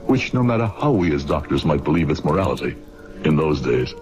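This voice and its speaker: A man's voice: very deep voice, big pitch swings, brisk, a little mellow, low-quality recording. An actor playing an earnest medical man in an old-time radio drama, formal and theatrical in his speech. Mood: rueful. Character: earnest young medical student, later a rueful narrator. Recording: old radio recording with music bed.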